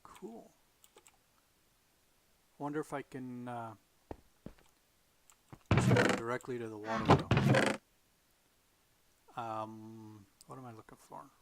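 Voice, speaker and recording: A man with a gravelly, creaky voice talks slowly through his game, muttering and half-whispering to himself as his voice starts to go. A steady hiss of noise sits under the recording. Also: mid-range voice. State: losing his voice. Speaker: gaming video host. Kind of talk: casual solo gameplay commentary.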